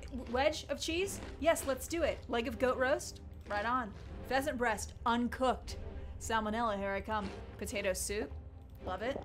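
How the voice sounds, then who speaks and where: A young woman playing a video game with a headset, jittery and excitable, swinging from loud yelps to hushed muttering. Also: medium pitch. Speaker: gamer. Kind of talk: let's play commentary. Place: small room indoors. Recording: game music loud behind voice. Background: game music.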